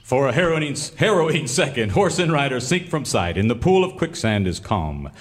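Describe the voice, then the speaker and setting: A man's voice: mid-range voice, sing-song, moderate pace, long reverb tail, lightly acted. A man playing a melodramatic announcer narrating an old-time radio serial parody. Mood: dramatic, grandiose.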